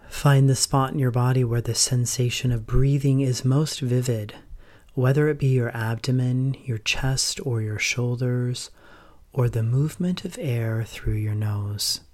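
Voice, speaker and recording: A man speaks as a meditation teacher in a calm, gentle voice of average pitch. His delivery is slow and measured, with frequent pauses between short instructions. Phrases often trail off softly and breathily. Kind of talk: guided meditation narration.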